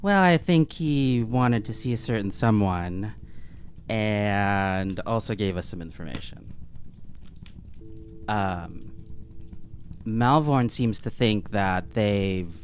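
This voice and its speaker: A man with a fairly low voice speaks very slowly and haltingly as a player in a tabletop game. There is faint noise and ambience behind him. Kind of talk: casual chat, in-game discussion.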